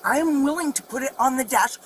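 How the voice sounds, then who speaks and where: A woman's voice: high voice, fast talker. A woman pulled over in her vehicle at a roadside, arguing in a stubborn, defiant manner. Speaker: driver pulled over in a traffic stop. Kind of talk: argumentative exchange. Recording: noisy roadside body-camera audio.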